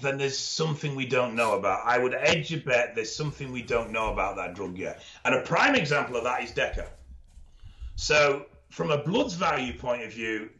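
A man co-hosting a podcast, explaining things in a knowledgeable, measured way with dry humour and frequent ums. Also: mid-range voice, pushed and bright. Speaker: expert co-host. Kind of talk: casual podcast chat, explaining. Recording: dull lo-fi audio.

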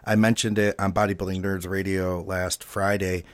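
Low-voiced podcast host guy, laid back, dry close sound. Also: moderate pace, no room sound. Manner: relaxed, repeats words as he starts. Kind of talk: casual podcast chat.

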